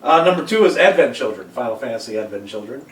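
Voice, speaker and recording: A man's voice: mid-range voice, lively intonation, fast talker. A man co-hosting a casual group chat, blunt and sarcastic with a mock-boastful manner. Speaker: podcast co-host. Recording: echoey room, distant microphone, background noise.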